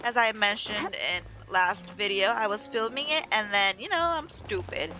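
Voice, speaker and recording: A young woman casually commenting as she plays a video game, self-deprecating and rambling, yelling at the screen at times. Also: mid-range voice, normal speed, rough recording. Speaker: gaming channel host. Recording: game music underneath.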